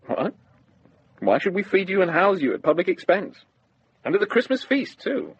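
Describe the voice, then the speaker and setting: An actor playing a brisk, shrewd deputy sheriff with an air of authority in a radio drama. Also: male voice, high voice, big pitch swings, slightly rough, no echo, dull audio, heavily acted. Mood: mock indignant.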